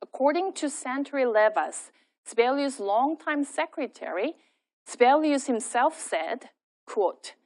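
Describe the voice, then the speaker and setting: A woman with a fairly high-pitched voice delivers a prepared lecture. She reads her text at a steady, measured pace, like a teacher presenting research. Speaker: lecturer and singer. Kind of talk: scripted academic lecture.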